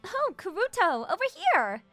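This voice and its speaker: A woman's voice: very high-pitched, sing-song, normal speed, a bit bright, no room sound, faint music behind, full character voice. A young woman playing a confident, pushy female CEO who teases her junior in a manga dub romance. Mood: playful, fond. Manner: straightforward, teasing, self-assured.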